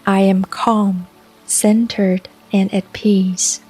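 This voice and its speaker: A woman narrating a scripted guided meditation in a calm, soothing, unhurried way, with long pauses between phrases. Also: mid-range voice, slightly rough. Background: soft music and rain sounds.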